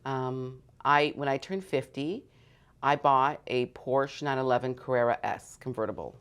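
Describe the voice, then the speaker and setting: A woman's voice: very deep voice, unhurried, clear, resonant tone. A woman in her fifties, born in northern Greece, talking candidly and emphatically about her work in an interview. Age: 50s. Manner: emphatic and confident, repeats "you know what" and "at the end of the day". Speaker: mortgage broker guest.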